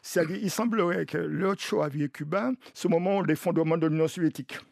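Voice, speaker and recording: A man with a Martinican accent hosting a live radio discussion, explaining deliberately and repeating phrases as he builds his point. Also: on the high side, gravelly, a little mellow, no echo, clean studio sound. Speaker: radio host.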